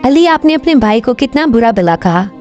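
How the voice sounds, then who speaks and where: A woman playing a gentle, loving mother in a children's moral story, tender and encouraging, on a dull lo-fi recording. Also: on the high side, big pitch swings, moderate pace, soft background music. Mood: gently admonishing. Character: gentle, loving mother reassuring and advising her family.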